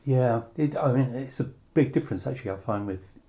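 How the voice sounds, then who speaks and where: A man interviewing someone conversationally, phrasing his questions in a hesitant, halting way. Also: medium pitch, dark tone.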